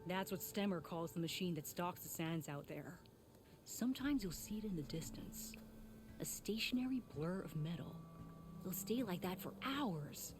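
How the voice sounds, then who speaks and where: A woman's voice: fairly low pitch, lively intonation, moderate pace, background noise, lightly acted. An actress playing a worried, guilt-ridden female tinkerer in a video game, speaking in an earnest, wry, conversational way. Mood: ominous, hushed. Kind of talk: video game character acting. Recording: faint music behind the voice.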